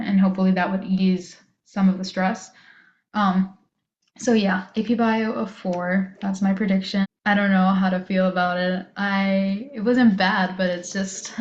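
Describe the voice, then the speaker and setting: A teenage woman, a high school student and native Spanish speaker, chatting casually as she records a vlog. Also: darkish tone. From moved to the US from abroad. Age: teens, high school student. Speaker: student vlogger. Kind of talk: casual chat to camera. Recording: roomy echo, slightly dull sound.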